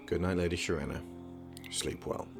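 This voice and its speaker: A quietly ambitious young prince with an extremely low voice and a gravelly creak. He speaks formally and drily in a fantasy audio drama, with music playing underneath. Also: steady tone. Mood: cool, formal. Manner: dry, measured, formal wit.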